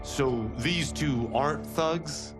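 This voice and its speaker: A man's voice: fairly high pitch, slow pace, over loud music. An actor playing a brash, eager young male martial artist in a video game. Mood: skeptical. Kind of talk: video game cutscene acting.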